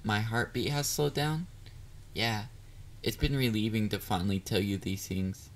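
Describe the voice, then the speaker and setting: Slow-talking, hesitant catboy boyfriend acted by a man. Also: on the low side, steady tone. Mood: relaxed, affectionate. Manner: hesitant, vulnerable, flustered, with stammers. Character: shy, clingy, excitable young male catboy boyfriend. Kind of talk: intimate romantic audio roleplay.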